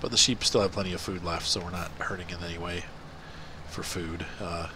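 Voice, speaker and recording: A man's voice: sits low, steady tone, fairly quick, noisy background. A middle-aged man with a congested, stuffy nose, chatting casually while playing a farming game for his channel. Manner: rambling, chatty, many fillers. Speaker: gaming channel host. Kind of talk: casual gameplay commentary.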